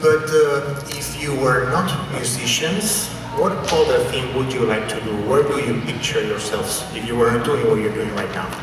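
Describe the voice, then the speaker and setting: A male journalist asking a question at a press conference with halting phrasing. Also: medium pitch. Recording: echoey hall, far from the mic, background hum.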